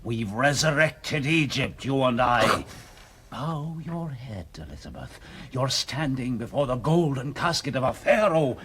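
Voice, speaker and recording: A grandiose tomb-robbing villain from a radio play. His mid-pitched voice swings widely as he gloats with condescending, mock politeness. Clear background noise sits behind him. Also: very animated, measured pace, a bit bright. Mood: grandiose, gloating. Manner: theatrical, condescending. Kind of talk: radio drama acting.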